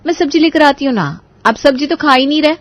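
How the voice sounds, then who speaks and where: A woman's voice: sits high, expressive, fast talker, no room sound, pitched above the performer's own voice. A woman voicing a dutiful, shrewd young daughter-in-law in a family story. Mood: eager, obliging.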